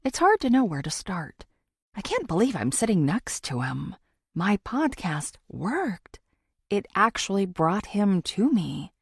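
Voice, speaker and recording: A woman reading a first-person thriller audiobook in a dramatic, expressive way. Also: mid-range voice, a little slow, husky, no room sound, studio quality. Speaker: audiobook narrator.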